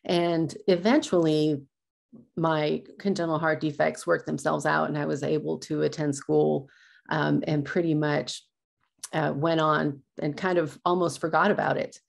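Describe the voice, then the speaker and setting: Brief: Spanish-native woman from California, low gravelly voice, halting award acceptance talk. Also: level tone. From California, USA. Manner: hesitant, many ums and uhs. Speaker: award recipient, assistant professor. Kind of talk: personal acceptance speech.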